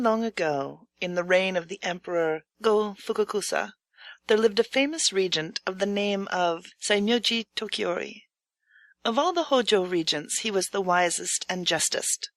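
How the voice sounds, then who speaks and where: A woman reading a scripted folk tale as an audiobook narrator, in a measured, expressive storytelling manner. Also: mid-range voice, dry recording.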